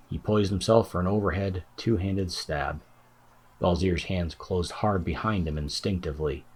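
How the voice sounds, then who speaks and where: A man's voice: deep voice, husky. A man reading scripted fantasy narration as an audiobook narrator.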